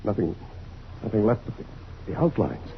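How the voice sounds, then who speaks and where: A man playing a folksy small-town sheriff in an old-time radio drama, easily baffled and halting when startled. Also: lowish voice, sing-song, creaky. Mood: shaken. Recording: dull, noisy old radio recording.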